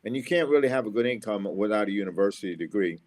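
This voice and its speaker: Man, middle-aged, from Florida, engineer guest, motivational, repeats phrases, lowish voice. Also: expressive, racing, darkish tone. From USA, Florida. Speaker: interview guest, engineer and school speaker. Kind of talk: casual interview answers.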